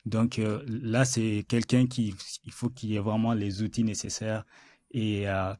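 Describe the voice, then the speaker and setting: Mellow-voiced hesitant male host. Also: fairly low pitch, little pitch movement, unhurried. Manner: hesitant, many filler sounds and restarts. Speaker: podcast host. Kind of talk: interview.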